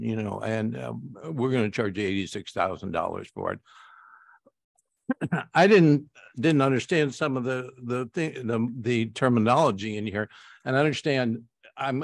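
Gravelly, halting American man. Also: mid-range voice, no room sound, clean studio sound. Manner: halting, hesitant delivery. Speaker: board director. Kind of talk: questioning in a formal meeting. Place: public board meeting room.

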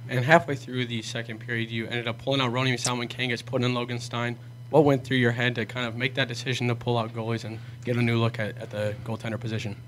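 A man's voice: medium pitch, little pitch movement, fast, a bit bright, slight background noise. A man asking questions as a reporter at a press conference.

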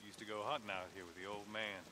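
A shrewd, domineering leader of a survivalist camp in a video game, played by a man. His low voice is folksy and deliberate, in clipped phrases. Rain noise is clear behind him. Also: steady tone, lightly acted. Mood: nostalgic, bitter. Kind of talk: video game cutscene acting.

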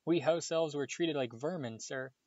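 A man doing a tiny, trembling male house elf from a storybook reading, squeaky and high, begging and fretting and tacking sir onto everything. His pitch leaps about nervously. The sound is slightly dull and dry. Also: moderate pace, darkish tone, no room sound, close to an everyday voice. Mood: anguished. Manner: squeaky, pleading, keeps saying sir. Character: small frightened male house elf, servile, tearful.

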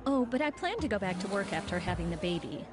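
An actress playing a stern, nagging girlfriend, pointed and matter-of-fact, in an animated game cutscene. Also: medium pitch, fast talker, close to an everyday voice. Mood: firm, practical. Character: stern, nagging long-time girlfriend, composed and controlling. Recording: loud music under the dialogue.

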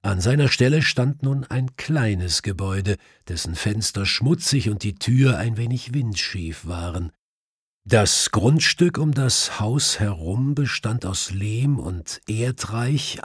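A man's voice: lowish voice, animated, slow pace, breathy edge, no echo, clean studio sound. A man reading a literary detective story as an audiobook narrator.

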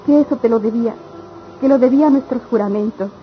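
An actress playing a dutiful, sorrowful young country sweetheart in a radionovela, speaking solemnly and earnestly. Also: female voice, fairly high pitch, fast talker, lightly acted. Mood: earnest resolve. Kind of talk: radionovela acting. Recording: dull, noisy old radio drama recording.

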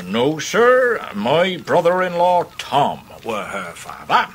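Slow, hearty fisherman. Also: on the high side, huge pitch swings, fairly bright tone, a little hiss or hum, full character voice. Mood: frank, respectful. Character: gruff, good-natured, large hairy old-time seaside fisherman, kindly uncle. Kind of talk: 1990s radio drama acting.

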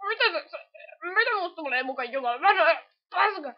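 High-voiced woman, dull recording. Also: animated. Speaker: amateur fandub voice actor. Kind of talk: improvised comic cartoon dubbing.

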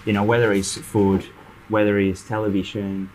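A man's voice: fairly low pitch, flat delivery, normal speed, background noise. A man, an immigrant host in a casual chat, speaking thoughtfully and agreeably in a restaurant. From immigrant to Australia. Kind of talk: casual conversation. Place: restaurant, indoors.

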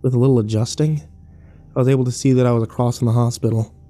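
A man's voice: medium pitch, level tone, quick pace, warm tone, natural voice. An actor playing a battered, bitter young man who narrates his nightmare in terse, sardonic, profane fragments for a horror audio drama. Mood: weary, bitter.

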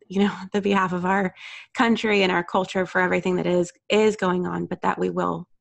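A woman co-hosting a casual podcast, thoughtful and halting, stopping often to search for her words. Her voice sits fairly low, with a gravelly, vocal-fry texture. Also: little pitch movement. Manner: thoughtful, halting, many fillers. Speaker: co-host.

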